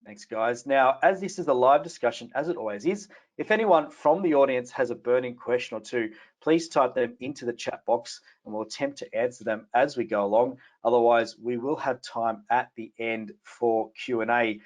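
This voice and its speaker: A man's voice: medium pitch, moderate pace, few pauses. A man hosting a live business webinar, reading a scripted opening and then asking loose questions to a panel. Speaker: webinar host, company CFO.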